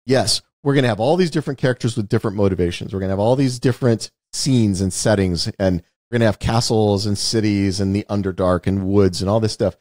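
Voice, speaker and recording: A man's voice: lowish voice, quick pace, hardly any pauses, a little mellow, no room sound, clean studio sound. A man co-hosting a podcast, explaining film and game details in a knowledgeable, casual way. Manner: explanatory, knowledgeable, repeats words when starting. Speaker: podcast co-host. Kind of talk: casual movie discussion.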